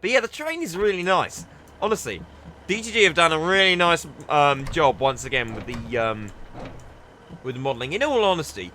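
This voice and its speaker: A man's voice: on the high side, pushed and bright, slight background noise. A young man giving chatty, enthusiastic commentary while playing a train simulator, often restarting his sentences. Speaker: gaming video creator. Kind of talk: casual gameplay commentary.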